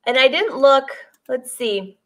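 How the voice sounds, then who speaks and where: A woman in her forties hosts a casual live stream from her sewing studio, talking to viewers through a desk webcam. Her voice sits fairly high, and she chats along easily, greeting commenters by name and laughing often. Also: lively intonation, unhurried, fairly bright tone. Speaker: sewing teacher and host. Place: sewing studio with dress forms, indoors.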